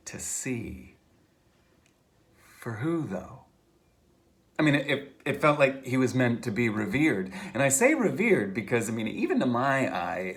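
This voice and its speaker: A man, an author, reading his novel aloud in a conversational, halting first-person style with theatrical emphasis. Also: on the low side.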